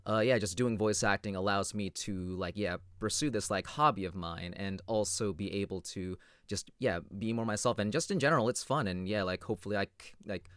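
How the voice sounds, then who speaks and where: Young man, smooth low voice, halting. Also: a bit bright, no room sound, studio quality. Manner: filler-heavy, halting. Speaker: hobby voice actor panelist. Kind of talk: casual panel chat.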